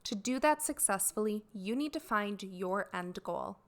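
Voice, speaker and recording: A woman hosting a solo podcast for artists, sounding raspy and dry as she gets over a cold, talking in an earnest, explanatory way. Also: medium pitch, lively intonation, a little slow. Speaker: podcast host.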